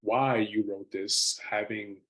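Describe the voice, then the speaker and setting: An American man from Massachusetts gives casual remarks as an actor at a Q&A. His voice is slightly low. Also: steady tone, slow, a bit bright, rough recording. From Framingham, Massachusetts, United States. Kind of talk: casual comment at a Q&A.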